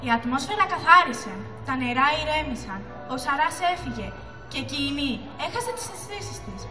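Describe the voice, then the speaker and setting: A woman's voice: sits high, expressive, sharp tone. A teenage girl narrating a school puppet show as a scripted story. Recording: echoey, distant mic, music behind.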